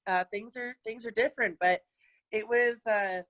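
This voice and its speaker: Raspy, bright-toned woman guest, lively and quick to laugh, talking over a video call. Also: fairly high pitch. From Colorado, USA. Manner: energetic, laughs often, many fillers. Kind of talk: casual interview chat. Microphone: webinar video call.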